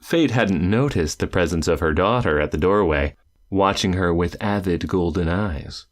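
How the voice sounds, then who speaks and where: A man reading an audiobook aloud, narrating with measured, expressive pacing and dramatic pauses. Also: sits low.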